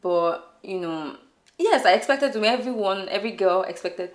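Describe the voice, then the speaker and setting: A young woman, chatting warmly as an interview guest with lots of "like" fillers. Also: medium pitch, expressive, moderate pace, reverberant. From Nigeria. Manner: warm, upbeat, many "like" fillers. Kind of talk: casual interview answers. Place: indoor studio on a sofa. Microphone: off-camera shotgun mic.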